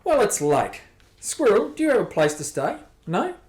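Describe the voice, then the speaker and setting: A woman's voice: medium pitch, very animated, normal speed, a little mellow, natural voice. A woman playing a curious, practical and hospitable bar owner in a radio play, with an inquisitive delivery. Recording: roomy, echoey amateur recording.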